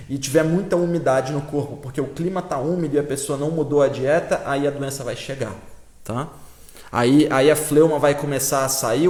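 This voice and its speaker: A man with a Brazilian Portuguese accent, teaching a live-stream lesson and answering viewers in a casual, explaining way with frequent check-ins. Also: a little high. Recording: roomy echo.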